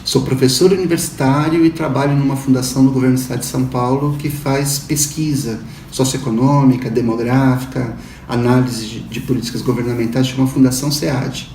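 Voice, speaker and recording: A man's voice: mid-range voice, steady tone, darkish tone. A Brazilian professor in his fifties answers in a careful, academic manner. His voice sounds a little distant, with a roomy echo and a faint background hum. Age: 50s. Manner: measured academic explaining. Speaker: university professor interviewee. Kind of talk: interview answers.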